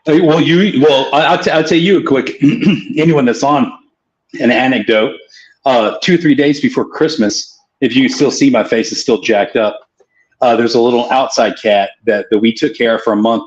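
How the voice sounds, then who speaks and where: A man's voice: mid-range voice, moderate pace. A man, rambling through a joking anecdote on a casual livestream. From Tennessee, US. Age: 40s. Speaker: co-host. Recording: dull lo-fi call audio.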